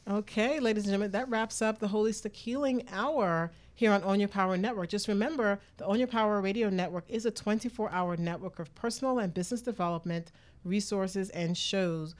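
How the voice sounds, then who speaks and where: A woman's voice: medium pitch, fairly quick. Chatty woman hosting talk radio. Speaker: radio show host.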